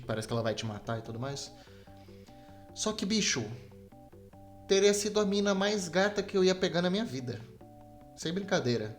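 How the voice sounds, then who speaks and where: A young adult man with a Brazilian Portuguese accent rambles casually as a solo podcast host, slangy and self-deprecating. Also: medium pitch. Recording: video game music behind the voice. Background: Super Nintendo game music.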